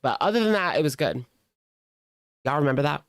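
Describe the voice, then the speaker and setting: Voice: medium pitch, lively intonation, a little fast, no room sound, clean studio sound. A streamer giving casual reaction commentary in a gossipy, sardonic, conversational way.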